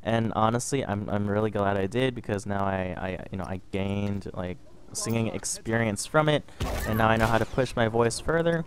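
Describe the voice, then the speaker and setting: A man's voice: lowish voice, moderate pace, a little hiss or hum. A young man from Canada, a little sick, telling a story casually over gameplay, rambling and restarting often. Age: young adult. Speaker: gaming vlogger.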